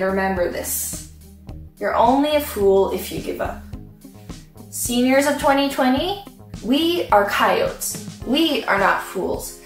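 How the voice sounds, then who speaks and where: A young woman giving a playful, upbeat graduation speech to her classmates from home. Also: a little high. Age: young adult. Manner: playful, upbeat, with comic asides. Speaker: graduating student speaker. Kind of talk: prepared commencement speech. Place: bedroom at home, indoors. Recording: roomy echo, mic at a distance.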